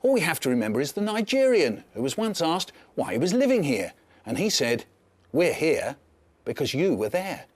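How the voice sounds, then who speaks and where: A man presenting scripted documentary narration with an expressive, dramatic storytelling delivery. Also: a little high, normal speed, no echo. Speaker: programme host.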